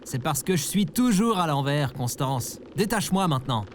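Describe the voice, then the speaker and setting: A man's voice: fairly high pitch, sing-song, normal speed, background noise. A man playing a skeptical, exasperated everyman in an audio comedy, with a dry manner. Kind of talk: audio fiction comedy acting.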